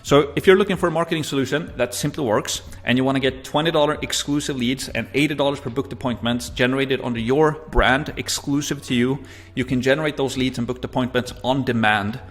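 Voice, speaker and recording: A man from Sweden with a Swedish accent, teaching an online marketing course and often dropping "essentially" and "right?". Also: mid-range voice. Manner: frequent fillers, "essentially" and "right?". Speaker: course instructor. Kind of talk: recorded marketing lesson.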